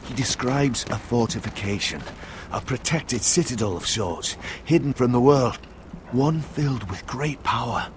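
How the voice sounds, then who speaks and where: An actor playing a grave, devoted older uncle in a medieval fantasy game, speaking in a solemn, formal, measured way. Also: male voice, medium pitch, animated, noisy background, natural voice. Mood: solemn, secretive. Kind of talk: dramatic fantasy game acting.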